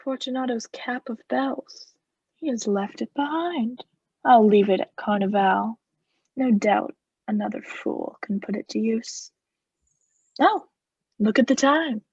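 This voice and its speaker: A woman's voice: fairly high pitch, slow, long pauses, darkish tone, husky, dry recording, lo-fi sound, heavily acted. A woman acting a cold, vengeful murderer in a radio play, speaking with formal, sardonic politeness as he mocks his victim. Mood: gloating.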